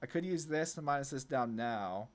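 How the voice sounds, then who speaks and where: A man's voice: mid-range voice, lively intonation, normal speed. A man giving casual card game commentary, thinking aloud and often questioning his own choices as he goes. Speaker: gameplay video host. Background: background music.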